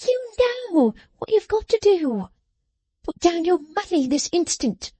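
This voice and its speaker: Woman voicing a scolding girl, bossy, sharp, snapping, high lively voice, dry sound. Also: takes it slowly, dry recording, heavily acted.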